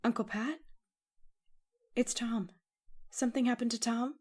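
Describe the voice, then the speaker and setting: A woman's voice: fairly high pitch, fairly even intonation, a little slow, a little mellow. Woman as a worried young sister, earnest emotional delivery, old-time radio play, raised voice.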